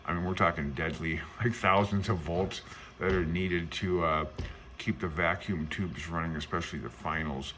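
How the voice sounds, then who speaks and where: A man with a low, forward, bright-edged voice talks through a book in a casual hobbyist style. He is chatty and amused, drifting into asides as he goes. Faint noise sits behind him. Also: fairly even intonation, normal speed. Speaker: amateur radio hobbyist host. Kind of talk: casual rambling commentary on a book.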